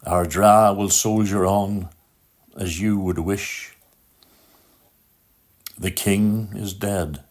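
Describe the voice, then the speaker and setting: An Irish man speaks in a deep voice at an unhurried, slow pace. He sounds measured and reflective, as a poet does when reading a tribute aloud. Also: steady tone. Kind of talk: spoken tribute and poetry reading.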